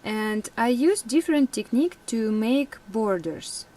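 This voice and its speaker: A young woman whose first language is Russian, chatting casually in a vlog, laughing often and pausing with fillers. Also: fairly high pitch, animated, warm tone. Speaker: vlogger.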